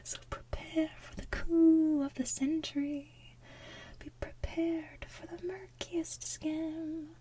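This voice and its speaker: ASMR host, female: high, mellow voice, hushed and breathy, soft-sung and whispered, playful.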